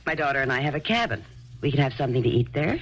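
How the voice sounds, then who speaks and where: An actress in her thirties playing a gentle, dreamy woman poet who lives in a commune, in a 1970s radio drama, speaking softly and coaxingly. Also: rumbling low, expressive, rapid-fire, a little hiss or hum, low-quality recording. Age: 30s. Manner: soft, coaxing and warmly insistent.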